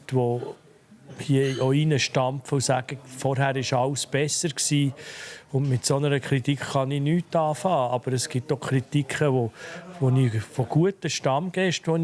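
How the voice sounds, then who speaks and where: A man in his fifties speaks with a Swiss German accent in a mid-range voice. He answers interview questions in a measured, businesslike way, now and then tangling his word order. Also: mid-range voice, darkish tone. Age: 50s. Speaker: restaurant leaseholder.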